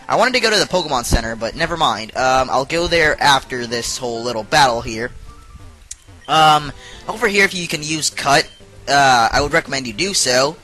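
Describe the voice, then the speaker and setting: A man's voice: mid-range voice, lively intonation, normal speed, bright, forceful tone, soft background music, poor audio. A young man who is sick and has partly lost his voice, chatting casually with many fillers as he plays through a game. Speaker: let's play host.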